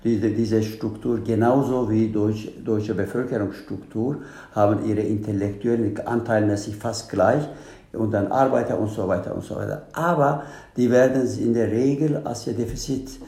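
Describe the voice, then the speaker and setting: Man, gravelly, dark tone, halting earnest speech, echoey room. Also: medium pitch. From Turkey. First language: Turkish. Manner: earnest, halting non-native German with many restarts. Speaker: guest, candidate for integration council. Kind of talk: panel discussion.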